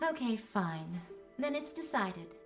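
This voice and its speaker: A woman's voice: mid-range voice, very animated, slow, faint music behind. A woman playing a sly, self-assured shrine priestess in a video game, with a languid, teasing, haughty manner. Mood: smug.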